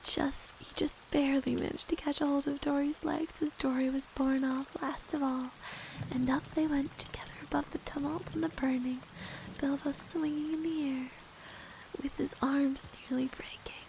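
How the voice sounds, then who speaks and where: A woman with a stuffy nose reading a story aloud in a soft, gentle ASMR whisper. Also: high voice, little pitch movement, moderate pace, gravelly, slight background noise, rough recording.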